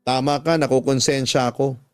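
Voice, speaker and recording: A man's voice: mid-range voice, fairly even intonation, a little fast, no room sound, close to an everyday voice. Man acting guilty, pleading husband, dry recording. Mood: remorseful. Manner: earnest, pleading. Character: unfaithful husband, guilty, pleading but resolute. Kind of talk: Tagalog radio drama acting.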